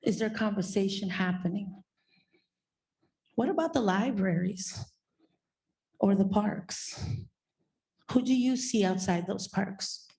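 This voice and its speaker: Woman, guided-imagery leader, slow steady questions, warm mellow tone. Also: mid-range voice, poor audio. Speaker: contemplation leader at a summit.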